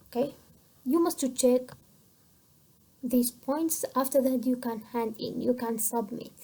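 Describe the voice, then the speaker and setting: High-voiced Kurdish woman teaching English. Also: mellow. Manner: slow, deliberate English with quick Kurdish explanations. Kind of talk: recorded lecture.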